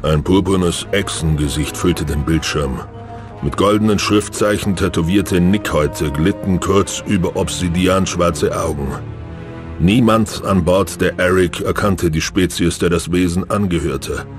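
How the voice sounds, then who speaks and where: A man's voice: sits low, unhurried. A man narrating a sci-fi audio drama as a grave storyteller. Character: grave male storyteller of a space adventure. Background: music.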